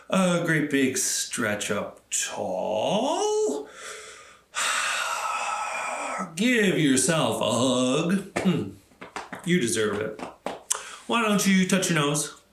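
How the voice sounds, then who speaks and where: A man's voice: mid-range voice, creaky, fairly bright tone, reverberant, slight background noise. A man hosting a storytime for children, talking simply and encouragingly as he leads activities and tells stories. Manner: encouraging, simple instructions addressed to young children. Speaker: library storytime host.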